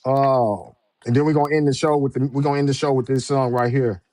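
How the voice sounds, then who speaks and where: A man's voice: medium pitch, steady tone, fast, a little mellow, no echo. Casual male show host, dry close sound. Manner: informal slangy talk. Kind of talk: casual live interview chat.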